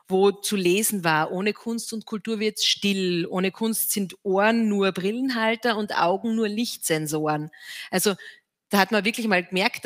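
A woman's voice: mid-range voice, fairly bright tone. A young woman moderating a stage panel on a headset mic, friendly, posing questions.

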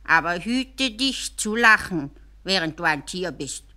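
Woman as a slow, solemn old scholar. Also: fairly low pitch, expressive, sharp tone. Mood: solemn, portentous. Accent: Swiss German. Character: learned old male teacher reading a magic spell.

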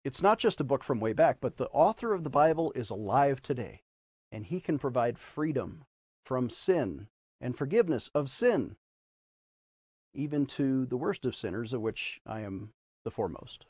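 American male religious TV host, high and swooping delivery, theatrical emphasis, dry studio sound. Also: sing-song, moderate pace, darkish tone, slightly rough, no room sound. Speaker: host and preacher of a religious news show. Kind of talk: presenting viewer letters with preaching commentary. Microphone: lapel mic.

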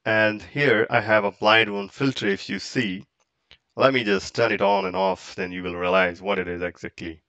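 Man, low pitch, dry close recording, halting tutorial delivery. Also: lively intonation, vocal fry, sharp tone, no room sound, muffled recording. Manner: halting, step-by-step, non-native English phrasing. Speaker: tutorial presenter. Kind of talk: software tutorial, casual explanation. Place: close, dry indoor voice-over for a screen recording.

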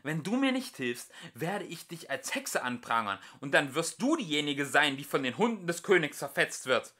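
A man voicing a cowardly, blustering charlatan in a storybook, excitable, by turns pleading and bullying. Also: on the high side, normal speed, pitched above the performer's own voice, heavily acted. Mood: angry bullying. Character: sly, cowardly, blustering male charlatan wizard.

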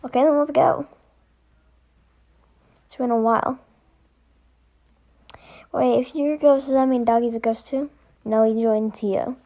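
A woman performing dialogue as an amateur voice-over for a homemade animated story. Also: a little high, measured pace, pauses often.

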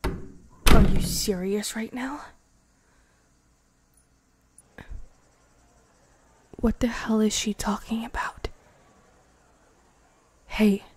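Casting: woman playing a young vampire bride, possessive and dangerous, slow and breathy, intimate ASMR roleplay delivery. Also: fairly high pitch, darkish tone, heavily acted. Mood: irritated, jealous. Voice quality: breathy, often dropping to a whisper. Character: possessive, menacing young female vampire bride, tender with her lover. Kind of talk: ASMR girlfriend roleplay, improvised.

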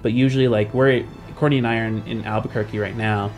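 A man's voice: fairly low pitch, steady tone, quick pace. A man hosting a podcast as a game master, talking casually and hesitantly as he thinks aloud. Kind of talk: casual podcast chat about world building. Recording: background music under the voice.

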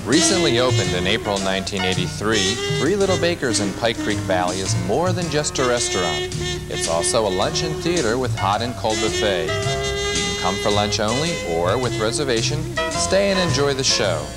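A man hosting a local cable TV show and reading scripted narration in a smooth announcer's style. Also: lowish voice, big pitch swings, normal speed. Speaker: TV host and narrator. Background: music.

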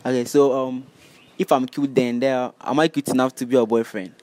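A man's voice: mid-range voice, fairly even intonation, fairly quick, darkish tone. A young man hosting an upbeat street interview on a university campus, holding a handheld mic and repeating answers back. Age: young adult. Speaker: host. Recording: noisy outdoor street recording.

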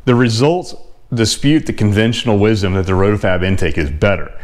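A man in his forties, a car channel host, explaining test results to camera in a measured, technical way from his home garage. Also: on the low side, darkish tone. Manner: measured, technical, plain-spoken.

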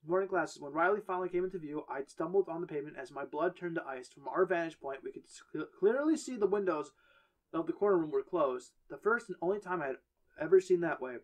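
Guy reading a scary story out loud, kinda high voice, trips on words and fixes them. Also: animated, fast. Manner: reads on with stumbles and self-corrections. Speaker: podcast host reading a horror story. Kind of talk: reading a story aloud with friends.

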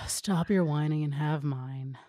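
A woman's voice: low-pitched, slow pace, mellow. A woman voicing a weary, laid-back young male ninja in a resigned, sighing manner, reading fan fiction. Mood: annoyed.

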